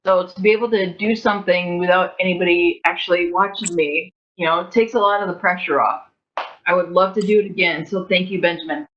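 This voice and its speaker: A woman's voice: fairly low pitch, steady tone, a little fast, a bit bright. A woman talking casually on a panel as a member of an amateur cast, over dull, noisy audio. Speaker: radio play cast member.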